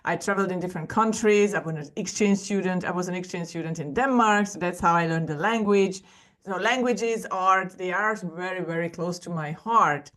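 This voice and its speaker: A woman's voice: fairly low pitch, normal speed, fairly bright tone. A woman in her forties from Hungary with a Hungarian accent, telling her story as a podcast guest, earnest and often restarting her sentences. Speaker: guest artist. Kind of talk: podcast interview.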